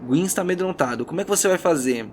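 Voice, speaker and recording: Higher-voiced Brazilian man narrating dramatically. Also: lively intonation, rapid-fire, slight background noise. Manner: dramatic storytelling with informal asides. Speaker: game master. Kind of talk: narrating a tabletop fantasy role-playing game. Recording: music bed behind the voice.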